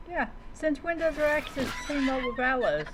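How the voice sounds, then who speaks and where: High-voiced woman gamer over noisy background. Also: animated, moderate pace. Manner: playful mock-heroic taunts, talking to creatures. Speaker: game streamer playing a roleplay survival game. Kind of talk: live in-character gameplay commentary.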